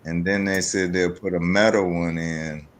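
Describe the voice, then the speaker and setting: A man's voice: sits low, fairly even intonation, normal speed, slight background noise. A man of fifty-eight talking casually and personally as a podcast guest.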